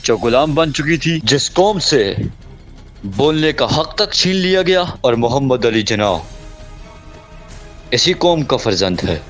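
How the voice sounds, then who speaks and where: A man playing a solemn elder leader, slow, rousing, faint music. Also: mid-range voice, sing-song, a bit bright. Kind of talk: Urdu audio drama acting.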